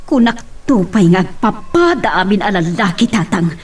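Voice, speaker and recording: A woman's voice: mid-range voice, expressive, moderate pace, close to an everyday voice. A woman playing an outspoken, meddling daughter in an Ilocano family audio drama. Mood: insistent.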